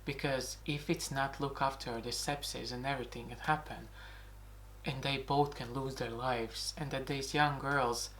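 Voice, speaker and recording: A man's voice: medium pitch, fairly even intonation, measured pace, fairly bright tone, rough recording. Earnest man with a Latvian accent. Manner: earnest, indignant, repeats "crazy" and "mad". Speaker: video blogger. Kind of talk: opinion monologue to camera.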